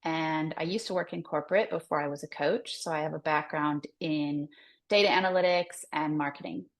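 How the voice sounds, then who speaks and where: An American woman with a low-pitched voice talks as a career coach being interviewed. She explains things conversationally, softening her points with loose, informal phrasing. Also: fairly even intonation, fairly quick. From United States. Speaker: career coach, podcast guest.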